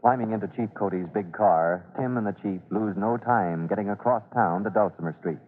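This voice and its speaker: Dramatic old-time radio announcer, tinny noisy recording. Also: male voice, lowish voice, brisk. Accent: American. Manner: dramatic, declamatory. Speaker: announcer and narrator. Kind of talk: 1940s-50s radio serial narration.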